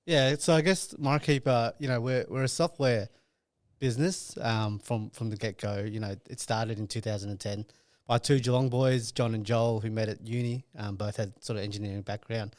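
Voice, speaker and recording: A man's voice: medium pitch, fairly even intonation, normal speed, darkish tone, dry recording. A man talking casually as an interview guest, in a loose conversational way with hedging phrases. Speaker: company sales and partnerships head, podcast guest.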